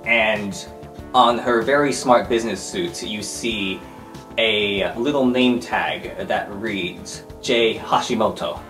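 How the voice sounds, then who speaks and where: A man's voice: lowish voice, slow pace, a bit bright. A man narrating an improvised tabletop game as game master. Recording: echoey room, music underneath.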